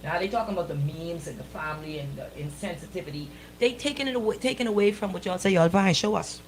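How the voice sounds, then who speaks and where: A woman's voice: on the low side, quick pace, a little hiss or hum, rough recording. A woman co-hosting a radio talk show in casual, opinionated banter, speaking emphatically in colloquial phrasing and often repeating her points.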